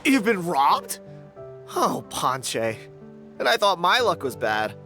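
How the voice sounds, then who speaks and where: Very animated young relic enthusiast. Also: male voice, high-pitched, slow pace, faint music behind, heavily acted. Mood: friendly. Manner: casual, animated. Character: easygoing young male relic enthusiast, friendly and excitable. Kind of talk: video game voice acting.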